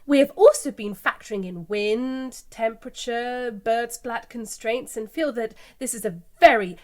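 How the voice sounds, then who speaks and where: A breezy company spokeswoman, played by an actress, with a fairly high voice. She is evasive and airily confident, smoothing over every difficulty in the manner of a satirical radio news interview. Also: unhurried, darkish tone, natural voice. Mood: evasive, enthusiastic. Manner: airy, confident, glossing over problems. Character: breezy, evasive female company spokeswoman pitching an absurd scheme.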